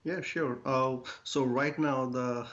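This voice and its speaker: A man's voice: mid-range voice, steady tone, takes it slowly, fairly bright tone. A man speaks as an interview guest, earnest and hesitant with many fillers. He is feeling under the weather. His voice comes over a dull, lo-fi remote line. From Bangladesh. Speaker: guest, clothing brand founder. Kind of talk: casual interview answers.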